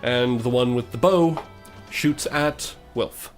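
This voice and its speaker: A man's voice: mid-range voice, takes it slowly. Male game master, tabletop role-play narration, mid-range voice, background music.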